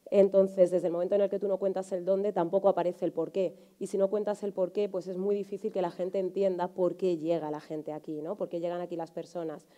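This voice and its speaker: A woman in her thirties speaks with a Madrid Spanish accent in a relaxed, somewhat low voice. She explains her points as a panelist in conversation, punctuating them with little tag questions. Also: brisk, hardly any pauses, muffled recording. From Spain, Madrid area. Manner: explanatory, frequent rhetorical tags seeking agreement. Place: indoor auditorium stage, seated on a panel.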